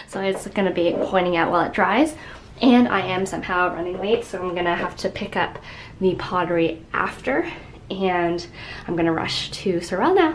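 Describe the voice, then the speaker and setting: A woman's voice: medium pitch, slight background noise. Chatty young woman losing her voice. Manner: chatty, rambling, peppered with "like". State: losing her voice, stuffy nose. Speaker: vlogger. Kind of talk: casual daily vlog chat. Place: at home, then at a restaurant.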